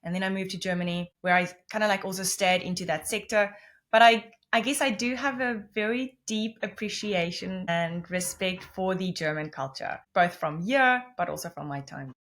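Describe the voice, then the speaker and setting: Namibian woman, Afrikaans native, interview guest; chatty, loose, self-correcting delivery, mid-pitched. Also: normal speed, a bit bright. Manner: rambling, self-correcting explanations with many restarts. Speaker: podcast guest. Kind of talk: conversational interview.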